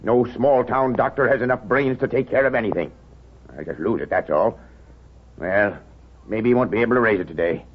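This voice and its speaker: An actor playing a cantankerous middle-aged small-town lawyer in a 1930s radio drama, an American with a pompous, blustering, curt manner. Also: male voice, mid-range voice, a little fast, a little hiss or hum, close to an everyday voice. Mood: indignant. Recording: dull 1930s radio recording.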